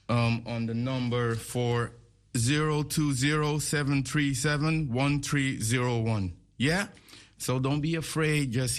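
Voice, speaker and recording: A man hosting a casual interview, asking rambling questions that often restart midway. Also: mid-range voice. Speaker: interviewer host.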